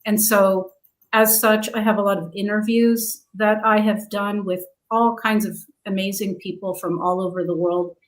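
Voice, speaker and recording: A woman guest answering interview questions, halting, with many fillers. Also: medium pitch, steady tone, poor audio. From grew up abroad in several countries.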